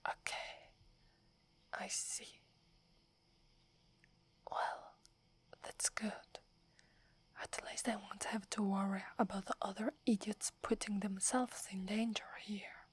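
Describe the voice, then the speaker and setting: Proud tsundere werewolf girl, whispered by an Italian woman: breathy, slow, close-mic ASMR. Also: medium pitch, flat delivery. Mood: haughty, wary. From Italy. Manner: haughty but stammers when flustered.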